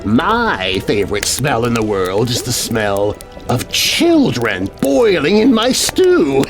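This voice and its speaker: A man voicing a sinister child-eating witch in a horror story for kids, speaking with slow, drawn-out menace over music. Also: mid-range voice, wildly swooping pitch. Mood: sly, gloating.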